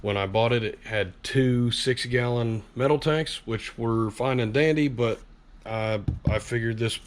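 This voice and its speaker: A man's voice: mid-range voice, measured pace. A man with a cold, sniffling a little, who hosts an outdoors channel and talks casually through a vlog in his garage. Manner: relaxed, conversational, with fillers. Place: home garage.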